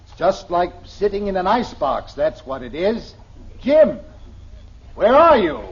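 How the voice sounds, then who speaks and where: A man's voice: high-pitched, big pitch swings, measured pace. An actor playing a grumpy, sarcastic middle-aged American businessman from New York in an old-time radio comedy, blustery and exasperated. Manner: blustery, exasperated, dry complaining. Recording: dull vintage radio recording, noise, audience.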